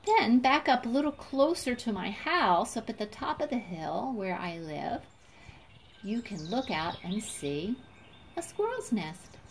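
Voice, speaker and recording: A woman guiding young children through a nature storytime with simple explanations, expressive teacherly emphasis and questions put to her listeners. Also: on the high side, a little slow, a little hiss or hum.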